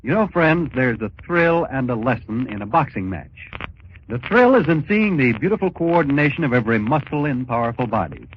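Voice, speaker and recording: A man announcing on 1940s radio, giving a scripted pitch in an emphatic, measured, persuasive way. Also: sing-song. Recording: dull, noisy 1940s radio recording.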